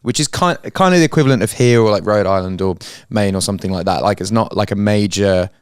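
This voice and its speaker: A man's voice: fairly low pitch, quick pace, clear, resonant tone, no echo, studio quality. A man from England with an English accent, chatting casually as a guest and rambling with frequent restarts. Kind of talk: casual chat.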